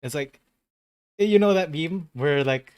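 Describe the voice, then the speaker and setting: A man's voice: on the high side, takes it slowly, dry recording, studio quality. A man hosting a casual gaming stream chat. He rambles, corrects himself mid-sentence and laughs easily. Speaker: host of a gaming channel.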